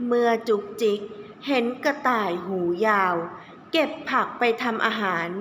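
A woman's voice: on the high side, lively intonation, measured pace, fairly bright tone. A woman teacher telling a children's story expressively, stretching key words for young listeners. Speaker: kindergarten teacher telling stories. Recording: echoey room with faint background noise.

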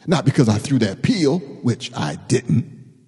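A man's voice: mid-range voice, big pitch swings, a little slow, a little mellow, slightly rough, full character voice. A man voicing a grumpy, exasperated male chimpanzee in a children's storybook. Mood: fed up. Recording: reverberant hall-like room echo.

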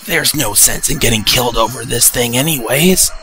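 A man's voice: mid-range voice, normal speed, close to an everyday voice. A man playing a sensible, supportive hunter in an amateur cartoon audio drama. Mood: reassuring. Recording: music laid under the voice.

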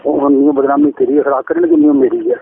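Middle aged punjabi guy from india on a bad phone call, gravelly, rushing and rambling, keeps repeating himself. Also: on the high side, huge pitch swings. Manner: rambling, repetitive, insistent. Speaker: caller, father-in-law. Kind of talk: private phone conversation. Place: phone line. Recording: noisy, tinny recorded phone call.

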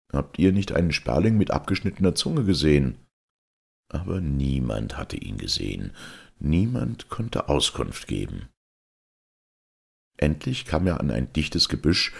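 A man's voice: very deep voice, vocal fry, slightly rough. A man reading a fairy tale aloud as a storyteller, in scripted narration.

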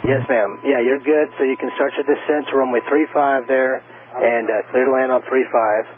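An American man gives air traffic instructions in an informal, reassuring way. His voice comes through a narrow radio channel with faint static behind it, at an ordinary male pitch. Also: steady tone, normal speed. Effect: radio transmission.

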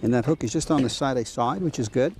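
Mellow-voiced fishing show host. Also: male voice, medium pitch, quick pace, slight background noise. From Canada. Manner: patient, explanatory, enthusiastic. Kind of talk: outdoor fishing show explanation and chat. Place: outdoors on a boat.

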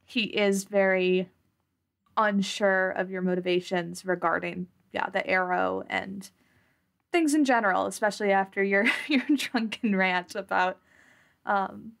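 A woman's voice: medium pitch, steady tone, measured pace, creaky. A woman improvising narration as a player in a tabletop role-playing podcast, thinking aloud hesitantly.

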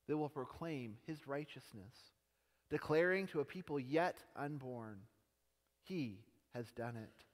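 A young man reading scripture aloud in a large church sanctuary, slow and measured, his phrases dropping softly at the ends. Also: medium pitch, expressive. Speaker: scripture reader. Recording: long church hall reverb.

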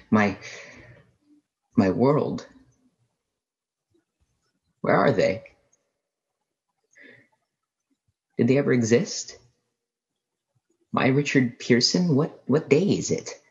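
An actor playing a haunted astronomy professor, lone survivor of an alien invasion, narrating a radio play in a halting, brooding, literary way. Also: male voice, medium pitch, lo-fi sound. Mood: anguished, lost. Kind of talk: dramatic first-person radio play narration.

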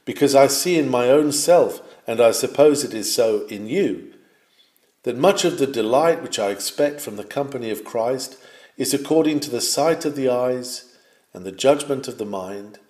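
Man, sermon reading, declamatory, fervent swells, mid pitch, echoey. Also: darkish tone. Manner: measured and declamatory, with swells of fervour. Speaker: reader of a historic sermon. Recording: roomy, echoing sound.

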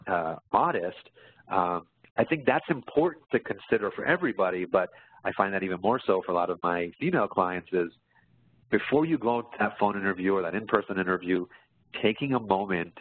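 Low-voiced guy coaching on a webinar, explains stuff, kinda husky, tinny phone line, echoey. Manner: explanatory, often checks with "right?". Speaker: executive and interview coach, webinar presenter. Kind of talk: answering audience questions in a webinar.

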